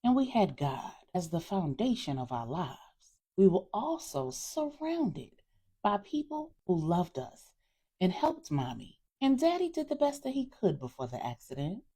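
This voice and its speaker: A woman voicing a reasoning grown sister in an audio story, measured, earnest and gently firm. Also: medium pitch, sing-song, slightly rough, full character voice. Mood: earnest, compassionate.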